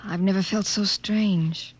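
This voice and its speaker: A woman's voice: medium pitch, steady tone, unhurried, breathy edge. An actress playing an earnest, troubled woman scientist with hesitant delivery in 1950s radio drama style. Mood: wondering, tender. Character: earnest, troubled female scientist awakening to love.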